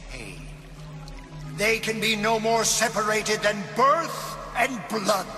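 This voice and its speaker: An actor playing a tyrannical, volatile mad artist in a video game, theatrical and grandiose, swinging between whispers and shrieks. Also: male voice, sits high, slow, full character voice. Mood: ominous. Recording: loud music behind the voice.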